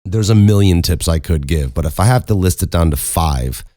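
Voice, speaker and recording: A young man hosting a casual Q and A, with a very low speaking voice, rambling and self-mocking and swearing casually. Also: animated, moderate pace, mellow, no echo, clean studio sound. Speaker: podcast host and voice actor. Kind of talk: casual Q and A chat.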